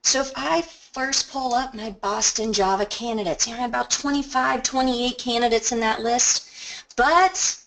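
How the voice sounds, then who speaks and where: A woman presenting a software training webinar, explaining each step in a conversational, instructional way and stressing key words. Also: on the high side, normal speed, gravelly, slight background noise, rough recording. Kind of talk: instructional screen-share walkthrough.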